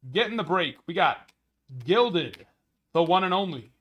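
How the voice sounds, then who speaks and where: A man hosting a sports card break livestream, chatting casually with viewers in a slightly hoarse voice. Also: animated, unhurried, dull audio. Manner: chatty, rambling, upbeat sales pitches.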